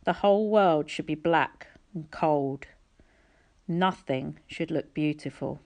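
Casting: female audiobook reader, slightly low voice, narrating a storybook. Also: slow, a little mellow. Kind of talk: storybook narration, reading aloud.

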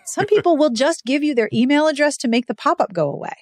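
A woman's voice: high-pitched, lively intonation, quick pace, darkish tone, no room sound, clean studio sound. An American woman hosting a podcast in casual, chatty conversation, often acting out little quoted asides. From United States. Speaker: podcast host.

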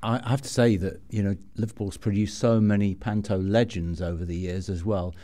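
Low, mellow male podcast host. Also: fairly even intonation, moderate pace. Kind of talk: relaxed interview chat.

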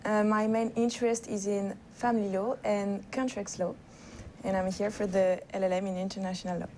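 Young woman, warm dark tone, composed delivery. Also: mid-range voice, flat delivery, brisk, a little hiss or hum. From France. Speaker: international law student.

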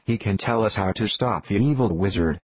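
A man plays a brash young cartoon hero with a husky, everyday voice and blunt, swearing talk. The sound is dry and a bit muffled, like a cheap home mic. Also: fairly low pitch, fairly quick, no echo.

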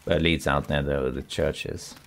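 A man with a raspy voice, still getting over a cold, calmly commenting as he plays a survival game and thinks aloud. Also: very deep voice, normal speed. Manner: relaxed, thinking aloud while exploring. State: recovering from a cold. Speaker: gameplay commentator. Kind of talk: calm let's play commentary.

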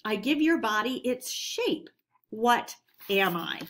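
A woman's voice: fairly high pitch, lively intonation, slow, fairly bright tone. A woman teacher giving a read-aloud lesson for children in an instructional manner, addressing her pupils directly.

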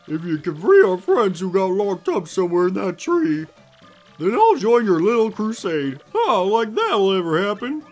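A man's voice: high voice, wildly swooping pitch, normal speed, darkish tone, voice pushed up, heavily acted. A gloomy old creature elder scoffs at every hopeful plan, as a man acts him out. His voice swings up and down with sarcasm. Faint game music plays behind. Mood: scoffing. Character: grumpy old male puni elder, scoffing, doubtful.

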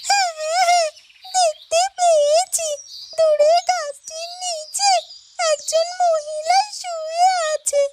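Tiny, very high-pitched talking bird. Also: fairly even intonation, slow. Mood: curious, concerned. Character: small female talking bird, eager and caring. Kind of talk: children's fairytale cartoon voice acting. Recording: loud music behind the voice.

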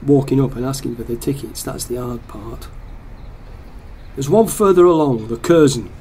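A man's voice: mid-range voice, moderate pace, dark tone, a little hiss or hum, close to an everyday voice. An actor playing a hesitant, lonely man muttering and deliberating to himself in a radio play.

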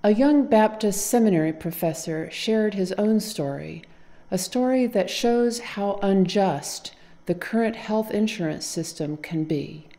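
Slow, mellow-voiced woman narrator. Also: mid-range voice, lively intonation. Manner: slow, measured delivery. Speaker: narrator, council director. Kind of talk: scripted narration to camera. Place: indoor room with wall decor. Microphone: lapel mic.

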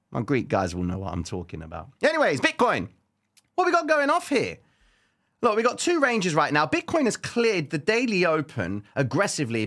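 Male stream host, late 20s to 30s, from Cyprus. Mid-pitched, chatty, informal commentary delivered straight to viewers. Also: a bit bright. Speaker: host of a trading livestream. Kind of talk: casual live market commentary. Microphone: close studio microphone on an arm.

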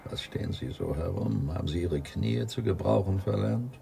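Brusque priest in a radio play, really deep and croaky, bit of noise. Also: male voice, normal speed, mellow. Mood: stern, impatient. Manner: brusque, reproving. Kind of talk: radio drama dialogue.

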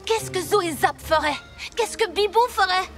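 A woman voicing a plucky young boy superhero in a French cartoon dub, eager and energetic. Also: extremely high pitch, normal speed, soft background music.

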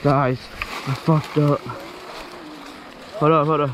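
A man excitedly talking and whooping while riding an electric dirt bike on wooded trails, recorded on a body-mounted camera. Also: fairly high pitch, slow pace, noisy background. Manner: hyped, whooping, slangy.

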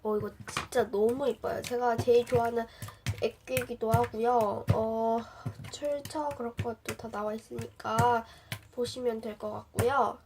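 A woman's voice: a little high, fairly even intonation, unhurried. A young woman with a slight cold showing slime to viewers in a casual chatty vlog, full of fillers and questions to the audience. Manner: chatty, many fillers, addresses viewers directly. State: has a cold, voice a bit changed. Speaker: slime reviewer. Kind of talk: casual show-and-tell vlog. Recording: noisy home recording.

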